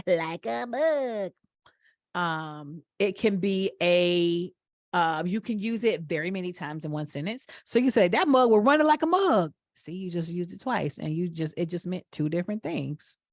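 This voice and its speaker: A woman's voice: on the low side, lively intonation, unhurried, no room sound, clean studio sound. A woman from Atlanta with a Southern accent, co-hosting a podcast in casual chat, playful and laughing as she explains with examples. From Atlanta, USA. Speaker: podcast co-host.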